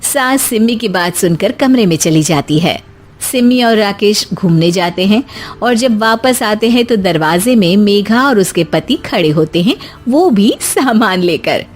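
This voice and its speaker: A woman narrator reading a scripted animated moral story. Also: medium pitch, unhurried, soft background music.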